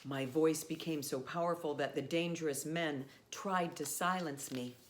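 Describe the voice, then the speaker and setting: A woman pastor, reading a picture book aloud to children in an expressive, simple way. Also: low voice, moderate pace, a little hiss or hum. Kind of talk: storybook read-aloud, addressed to children.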